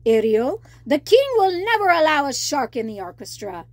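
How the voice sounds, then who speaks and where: A woman's voice: high voice, very animated, moderate pace, no echo. A worrying little sea-creature sidekick from a children's storybook, acted out by a woman. He is sceptical and dramatic, putting heavy emphasis on his words. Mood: disapproving.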